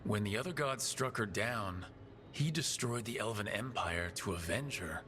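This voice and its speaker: An actor playing a pragmatic, determined fantasy hero in a video game, speaking plainly and with purpose. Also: male voice, lowish voice, animated, normal speed, close to an everyday voice. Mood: serious. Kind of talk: dramatic video game dialogue. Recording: music behind the voice.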